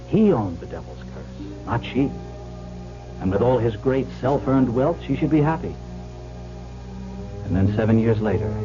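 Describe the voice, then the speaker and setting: An actor playing a rueful divorced man who tells his story in a measured, reflective 1970s radio drama style. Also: medium pitch, lightly acted. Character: rueful divorced man looking back, coin dealer. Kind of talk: 1970s radio drama, first-person narration. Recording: dull old radio broadcast with music.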